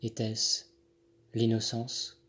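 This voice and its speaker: A man reciting his own poem in measured, deliberate phrases with pauses between them. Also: lowish voice, monotone, a bit bright. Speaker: poet reading own poem. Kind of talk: poetry recitation. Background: Handel music accompanies the reading.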